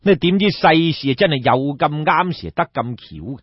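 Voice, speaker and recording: A man with a Cantonese accent telling a folk tale as an animated audiobook storyteller. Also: fairly high pitch, moderate pace, breathy edge, dry recording, dull audio.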